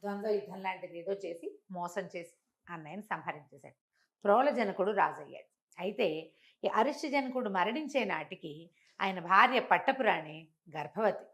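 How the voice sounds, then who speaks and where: A woman's voice: mid-range voice, animated, normal speed, a bit bright. A woman storyteller with a mid-range voice. She narrates a moral tale in a conversational way, explaining details as she goes. She often repeats phrases and slips into dialogue. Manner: explanatory, uses repetition and acts out dialogue in passing.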